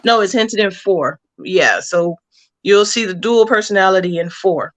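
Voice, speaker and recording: A woman's voice: mid-range voice, moderate pace. A woman speaking casually as an interview guest, explaining plans for a story as a comic book writer.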